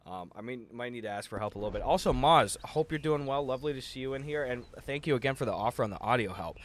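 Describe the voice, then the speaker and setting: A man's voice: mid-range voice, animated, fast talker. A young adult man chatting casually on a gaming livestream, slangy, jokey and profane, with a slightly tired, worn-down voice. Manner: slangy, jokey, profane, often says "dude" and "bro". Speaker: livestream host. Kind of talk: casual gaming stream chat, reading out viewer messages. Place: indoor room at a streaming setup.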